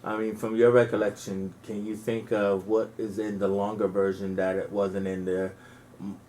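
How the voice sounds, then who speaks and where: A man's voice: fairly low pitch, flat delivery, normal speed, dark tone, slight background noise. A man co-hosting a casual film chat, asking questions with frequent fillers. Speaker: co-host.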